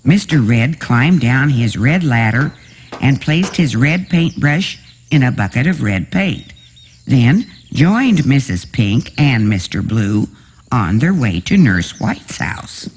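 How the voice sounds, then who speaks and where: Slow, animated husky male storyteller. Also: mid-range voice. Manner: expressive storybook reading. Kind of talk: scripted children's bedtime story narration.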